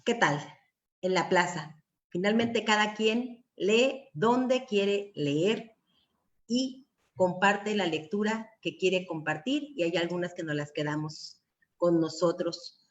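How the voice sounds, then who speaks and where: A woman's voice: lowish voice, slow. A woman with a Mexican accent moderating an online panel discussion. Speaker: secondary school teacher. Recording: dull lo-fi video call audio.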